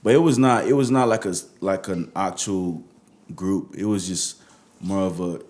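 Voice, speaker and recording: A man's voice: low voice, normal speed, a little mellow. A young Nigerian man with a Nigerian accent, talking casually as a musician guest in an interview.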